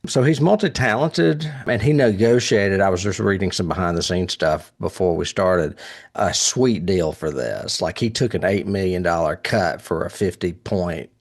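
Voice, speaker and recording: A man's voice: low voice, moderate pace. A man hosting a casual film review chat, speaking with enthusiasm and often chuckling. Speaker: podcast host reviewing horror films.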